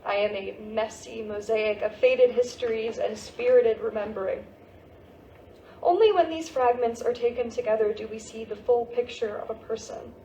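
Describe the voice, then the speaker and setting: A woman's voice: on the high side, normal speed, dark tone, lightly acted. A woman playing a grieving, searching American teenage girl in an emotional monologue at a staged play reading. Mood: tender, resolved. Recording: echoey stage recording with faint noise.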